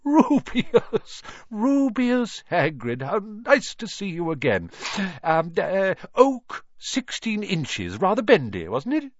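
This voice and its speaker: A man as eerie old storybook wandmaker, soft, swooping high and low, halting and musing, excitable, slightly muffled dry recording. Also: no room sound, full character voice. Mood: delighted, recalling. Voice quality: soft-voiced. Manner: musing, halting, savouring each detail.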